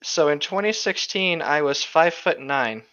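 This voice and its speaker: Bright-voiced young man, slightly lo-fi. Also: a little high, normal speed. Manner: sarcastic, profane, bantering. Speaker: co-host reading a book aloud with commentary. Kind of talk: casual joking chat.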